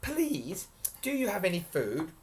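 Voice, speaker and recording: A man acts out a young storybook boy. He sounds eager, polite and excitable, pitched well above an everyday voice. The delivery is emphatic and very animated. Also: unhurried, heavily acted. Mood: eager, pleading.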